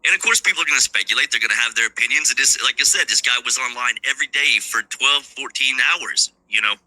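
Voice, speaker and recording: A man's voice: medium pitch, fast talker, pushed and bright, dry recording, poor audio. A man in a played video clip, casually commenting on news in a rambling, offhand way.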